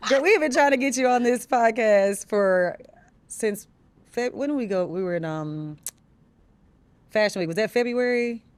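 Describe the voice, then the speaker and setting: A woman's voice: a little high, moderate pace, pauses often. A woman hosting a podcast in a casual chat style, upbeat and affirming as she talks. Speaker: podcast host. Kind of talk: casual celebrity interview chat.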